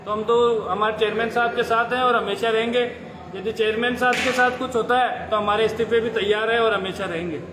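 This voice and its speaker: A man's voice: high-pitched, fairly even intonation, fairly quick. A male councillor recounting a grievance in a rambling, aggrieved way at a press conference. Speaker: ward councillor. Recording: echoey room with noise.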